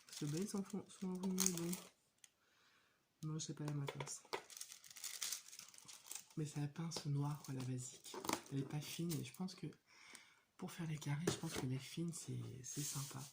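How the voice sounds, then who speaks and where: A woman in her thirties with a cold, chatting casually and hesitantly about her crafting hobby, with many pauses and fillers. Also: low voice, fairly even intonation, very noisy. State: has a cold. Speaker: hobby vlogger. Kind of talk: casual show-and-tell chat.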